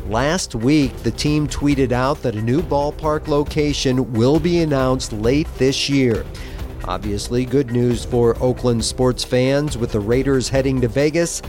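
A man reading a news segment on a radio show in a steady, newsreader delivery. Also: mid-range voice. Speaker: news reporter.

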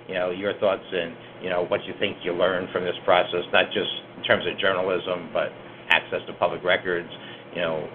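A man hosting a student newsroom podcast round-table, measured and full of "you know" fillers. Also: sits low, narrow, tinny audio, slight background noise.

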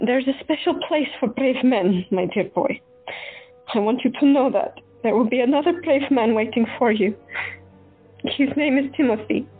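An actress playing a compassionate woman doctor who talks in a soft, earnest, reassuring way in an audio drama. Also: on the high side, husky. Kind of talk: amateur audio drama acting. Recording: tinny phone-like audio, faint music.

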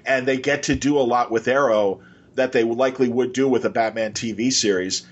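Husky guy podcast host, gushing and rambling casually, kinda dull lo-fi sound. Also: medium pitch, steady tone, brisk. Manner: effusive, rambling, informal. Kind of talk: casual enthusiastic chat.

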